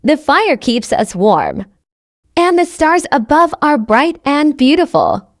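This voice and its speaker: A woman with a high, lively voice narrates a simple story for language learners. She enunciates each phrase carefully, as a scripted teaching read. The sound is dry and close, without room echo. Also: takes it slowly. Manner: carefully enunciated, repeats sentences identically. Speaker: narrator of English learning stories.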